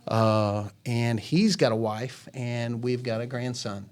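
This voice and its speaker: A man's voice: mid-range voice, slow, a little mellow, a little hiss or hum. A man in his forties, a ministry founder, telling his story as a podcast guest in an earnest, rambling way with many restarts. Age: 40s. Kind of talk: casual chat.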